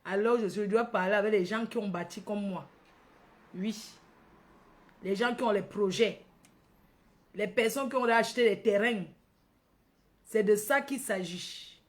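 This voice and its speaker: A woman's voice: medium pitch, slow pace, pauses often. A woman from Cameroon with a Cameroonian accent hosting a casual livestream, addressing followers by name, repeating phrases and tagging on questions. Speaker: livestream host.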